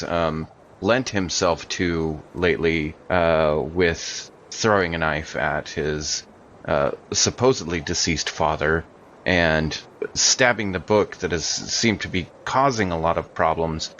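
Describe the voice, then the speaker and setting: A man with a low voice talks casually as a player in a tabletop game, hesitantly working out his character's choices aloud. The sound is a little dull, with faint noise behind him. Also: fairly bright tone.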